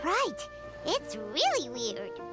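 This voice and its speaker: A woman's voice: high-pitched, wildly swooping pitch, very slow, music in the background, full character voice. A woman voicing a tiny, chirpy fairy companion in a video game. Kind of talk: scripted English game voice acting.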